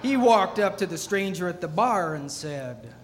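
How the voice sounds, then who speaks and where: Highish-voiced folksy tavern master. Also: male voice, big pitch swings, measured pace. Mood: storytelling. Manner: folksy, wry storytelling. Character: tavern master narrating a comic fantasy western tale. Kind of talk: narration in comic audio theatre. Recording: noisy, reverberant live theatre hall.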